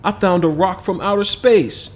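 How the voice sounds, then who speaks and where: Man playing an excitable young city boy, higher-pitched. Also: normal speed, lightly acted. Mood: excited. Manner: eager, exclamatory, slangy. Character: excitable, tender ten-year-old city boy. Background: background music.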